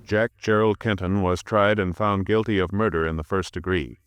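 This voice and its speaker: A man's voice: low-pitched, steady tone, normal speed. An American man reading scripted 1950s radio drama announcements in a solemn, formal manner. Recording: vintage radio recording, loud brass music. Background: loud orchestral brass music.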